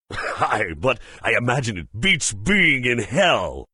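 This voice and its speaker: An actor playing a proud, hearty axe-wielding mercenary in a fantasy game, gruff and husky, with formal, self-assured speech. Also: male voice, mid-range voice, sing-song, slow pace, sharp tone, no echo, clean studio sound, heavily acted. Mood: proud, cordial. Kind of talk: fantasy game character acting.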